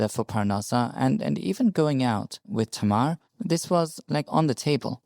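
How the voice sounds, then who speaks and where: Middle-aged man, British accent, gravelly voice, dry close sound, thoughtful. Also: on the low side, a little mellow, dry recording. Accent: British (London). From England (London). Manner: thoughtful, searching for words. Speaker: guest, rabbi and lawyer. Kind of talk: reflective interview talk.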